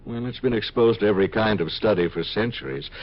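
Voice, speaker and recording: A man's voice: fairly low pitch, quick pace. A man acting an earnest, lovestruck scientist of a future century in 1950s radio drama style, ardent and persuasive. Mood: tender, musing. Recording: dull 1950s radio recording.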